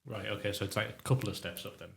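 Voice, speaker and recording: Sniffly man, halting chat. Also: lowish voice, creaky. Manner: halting, rambling, with many restarts. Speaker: podcast co-host. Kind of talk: casual chat while tasting hot sauce.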